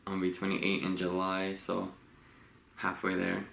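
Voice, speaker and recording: A young man with a very low, gravelly voice chats loosely through a couple's vlog, laughing and trailing off as he tells stories. The room is echoey, with a faint hiss under the voice. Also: a little slow. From Los Angeles, USA. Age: 20s. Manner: relaxed, rambling, laughs often. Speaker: vlogger co-host. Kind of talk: casual couple Q&A chat.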